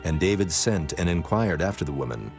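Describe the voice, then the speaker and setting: Very deep male scripture narrator. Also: lively intonation, brisk, a little mellow. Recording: music bed under the voice.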